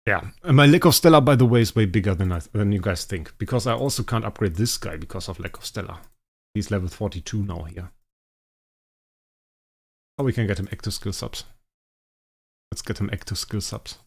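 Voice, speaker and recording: A young man, a native German speaker, casually thinking aloud as he streams a game, his sentences often trailing off quietly. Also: lowish voice, fairly quick, creaky, pauses often. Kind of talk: casual livestream commentary.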